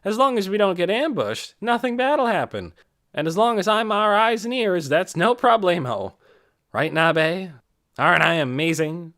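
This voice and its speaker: A man's voice: high-pitched, expressive, moderate pace, a bit bright, dry recording, studio quality, voice pushed up. A man voicing a jokey, cocky adventurer who shows off with breezy, flirty boasts in an audiobook. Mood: cocky, upbeat. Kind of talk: audiobook character voice.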